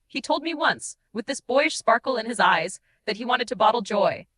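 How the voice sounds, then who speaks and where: A woman's voice: fairly high pitch, animated, fairly bright tone. A woman voicing a guilt-ridden, tender shapeshifting changeling who confesses in mournful, dramatic first-person audiobook narration. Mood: tender, bittersweet. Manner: emotive, mournful storytelling with dramatic pauses.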